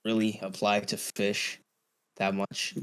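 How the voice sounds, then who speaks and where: A man's voice: on the low side, completely flat delivery, measured pace, fairly bright tone, clear, resonant tone. A young man hosting a casual podcast chat, swearing often and restarting his sentences. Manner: swears a lot, stammering restarts. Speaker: podcast host.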